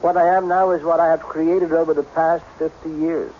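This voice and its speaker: A man's voice: fairly high pitch, flat delivery, normal speed, lightly acted. An actor playing a lonely, troubled man of about fifty, earnest and agitated, in vintage radio drama style. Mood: despairing, yearning. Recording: dull, faintly noisy old radio recording.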